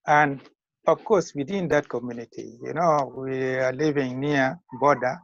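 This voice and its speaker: An older man in his sixties with a Ugandan accent, giving measured, formal interview answers as a school founder. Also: mid-range voice, fairly even intonation, gravelly. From northern Uganda. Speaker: school founder and retired teacher. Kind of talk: interview answers on a video call. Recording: muffled lo-fi call audio.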